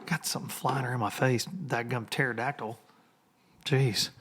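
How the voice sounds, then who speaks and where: A man hosting a casual podcast, hoarse and rambling, chatting loosely to his listeners into a microphone. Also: medium pitch, fairly even intonation, normal speed, fairly bright tone, a little hiss or hum. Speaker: podcast host. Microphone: studio microphone.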